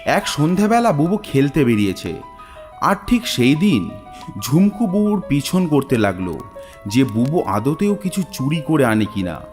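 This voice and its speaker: A man's voice: a little high, very animated, unhurried, darkish tone. A man narrating a scripted cartoon horror tale as a dramatic storyteller. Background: background music.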